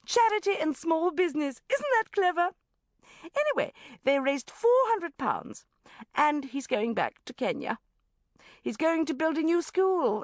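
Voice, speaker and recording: A pushy middle-aged mother gushes away breathlessly, her voice climbing very high and swooping about, as a woman reader puts her on. The sound is dry and close. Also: no echo. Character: pushy, gushing middle-aged English mum.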